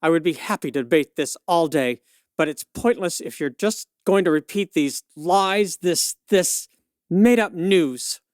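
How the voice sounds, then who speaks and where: A woman's voice: fairly low pitch, measured pace, a little mellow, dry recording, lightly acted. A woman acts out a suffragist lawyer from 1911 in a radio play. She debates in an articulate, indignant voice, firm and exact in her arguments. The recording is close and dry. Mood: exasperated.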